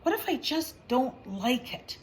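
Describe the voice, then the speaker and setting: A woman's voice: high-pitched, sing-song, a little slow, slight background noise. A woman voicing a young girl bear, anxious and questioning, in a children's storybook. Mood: worried. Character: young girl bear nervous about starting kindergarten.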